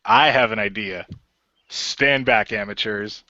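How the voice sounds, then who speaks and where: A man's voice: fairly low pitch, a little slow, bright, forceful tone, lo-fi sound. A man voicing a cocky, playful shapeshifting genie hero in an audio roleplay, taunting and joking as he goes. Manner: taunting, jokey.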